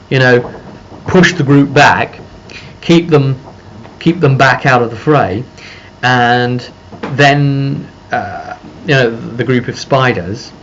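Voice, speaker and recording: A man's voice: medium pitch, a bit bright. A man speaking casually and unscripted as a game master recounting a tabletop session, rambling as he pieces the story together. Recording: dull lo-fi microphone with faint noise.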